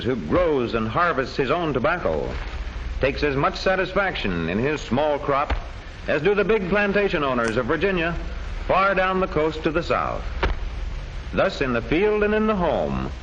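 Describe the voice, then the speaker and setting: A man narrating an old educational history film from a script, in measured, declamatory storytelling phrases. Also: on the high side, very animated, a bit bright. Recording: dull old film soundtrack with faint noise.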